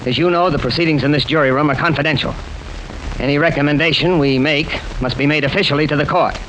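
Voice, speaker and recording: Actor as blunt, high-pitched jury foreman, noisy recording. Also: fairly even intonation, fast talker, fairly bright tone, natural voice. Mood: businesslike. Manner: blunt, argumentative. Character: brusque, stubborn male jury foreman. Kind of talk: old-time radio drama acting. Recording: noisy old radio drama recording.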